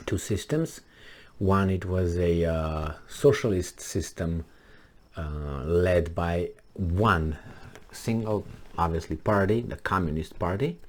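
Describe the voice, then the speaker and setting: A middle-aged man from Romania, talking casually to his subscribers in a halting way with many pauses and fillers. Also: low-pitched, a little mellow. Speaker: vlogger. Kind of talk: casual monologue to camera.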